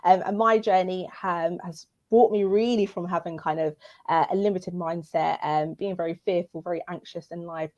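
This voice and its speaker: Woman, life coach, enthusiastic, lots of ums, lower voice, muffled lo-fi audio. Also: fairly even intonation, moderate pace, a little mellow. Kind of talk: panel self-introduction.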